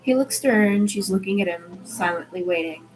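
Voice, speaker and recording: A woman's voice: medium pitch, a little fast, soft background music, low-quality recording. A woman reading a story aloud in audiobook style, occasionally stumbling and restarting her phrases. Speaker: fan fiction reader.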